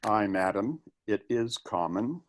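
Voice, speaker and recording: A brooding young prince in mourning acted by a man delivers Shakespearean verse. The voice is fairly low and very slow, somber and bitter, weighing each phrase. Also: animated, a little hiss or hum. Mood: wry, bitter. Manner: deliberate, somber. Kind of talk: dramatic reading of Shakespearean verse. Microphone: video call.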